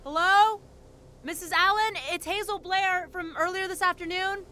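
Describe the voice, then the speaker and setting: A woman's voice: very high voice, fairly even intonation, moderate pace, bright, forceful tone, a little hiss or hum. An actress playing a determined but guarded female investigator in an audio drama, earnest and a little hesitant. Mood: alert, searching.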